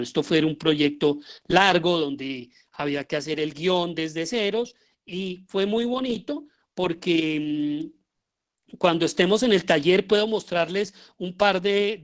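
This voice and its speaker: A middle-aged Colombian man speaks as a guest lecturer. His delivery is informal and reflective, with pauses as he looks for the right word. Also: sits high. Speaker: guest lecturer, theatre and radio drama director.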